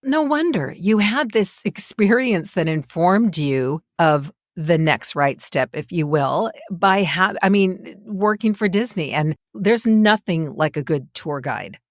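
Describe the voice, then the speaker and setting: A woman's voice: fairly low pitch, expressive, slow, few pauses, no room sound, studio quality. A woman hosting a podcast, interviewing in a casual, conversational and encouraging way.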